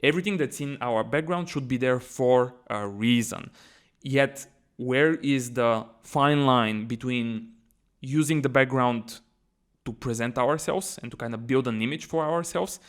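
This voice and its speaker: A man's voice: mid-range voice, measured pace. A man co-hosting a podcast, chatty and easygoing, moving the conversation from topic to topic. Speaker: podcast co-host.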